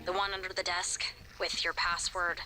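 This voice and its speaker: A woman's voice: fairly low pitch, level tone, normal speed, over loud music, lightly acted, low-quality recording. An actress playing an affectionate young woman in a science-fiction game, scolding playfully in an intimate, teasing way. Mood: teasing, tender. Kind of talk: video game dialogue.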